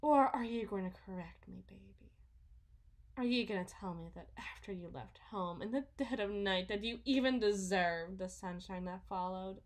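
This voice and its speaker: A woman playing a delusional, condescending, possessive wealthy mother in a theatrical ASMR roleplay, phrasing everything in a drawn-out, deliberate way. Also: mid-range voice, close to an everyday voice. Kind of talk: ASMR roleplay, dramatic monologue.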